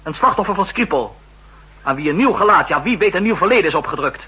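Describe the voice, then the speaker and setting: Ambitious young assistant, high, bright, earnest, insistent, 1960s radio drama. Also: male voice, animated, fast talker, husky, heavily acted, poor audio. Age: young adult.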